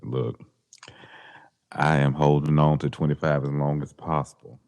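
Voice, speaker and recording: Very deep-voiced man chatting easily. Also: level tone, darkish tone. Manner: laid-back, joking, drawn-out phrasing. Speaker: podcast co-host. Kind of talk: casual chat.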